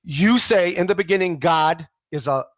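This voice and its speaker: Man, booming and emphatic, fairly high voice, dry close sound, video call debater. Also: normal speed, no room sound. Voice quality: loud booming voice. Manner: emphatic, repeats himself to end the argument. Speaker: atheist debater. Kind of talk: heated casual debate.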